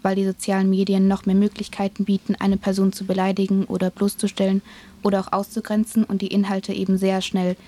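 A woman's voice: mid-range voice, completely flat delivery, fairly quick, warm tone. An eighteen-year-old woman, a student panelist, reading a prepared answer earnestly and stumbling slightly. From Germany.

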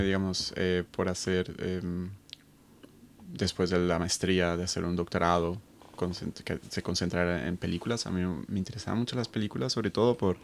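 A Colombian-born man in his thirties, a researcher answering interview questions in a thoughtful, halting way with many fillers. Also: low-pitched. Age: 30s. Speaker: guest researcher. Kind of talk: conversational interview.

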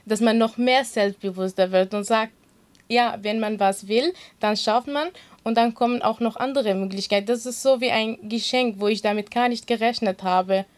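Earnest woman with a Kurdish accent. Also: fairly high pitch. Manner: earnest, halting, many fillers. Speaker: interview guest, swimming instructor. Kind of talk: casual interview answers.

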